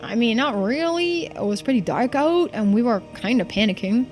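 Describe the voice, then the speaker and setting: A woman's voice: on the high side, expressive, moderate pace, a little mellow, faint music behind, pitched below the performer's own voice, natural voice. A woman voicing a tough, blunt, protective young character in a visual novel read-through. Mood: puzzled.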